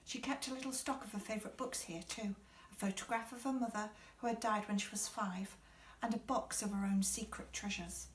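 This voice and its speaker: A woman narrates a children's story at a middling pitch. The room around her voice adds a clear echo to the reading. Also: fairly even intonation, brisk, slight background noise. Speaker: audiobook reader. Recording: echoey room.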